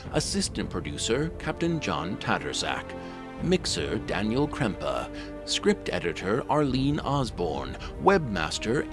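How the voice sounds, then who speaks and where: A male narrator delivers scripted announcements. His voice is on the low side with lively intonation. Music plays clearly behind him. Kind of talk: scripted narration, reading credits.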